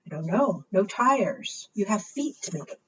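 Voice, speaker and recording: A woman's voice: medium pitch, sing-song, normal speed, fairly bright tone, slight background noise. A woman leading a preschool storytime, reading rhymes aloud and putting questions to small children in a sing-song way. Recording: muffled, distant microphone.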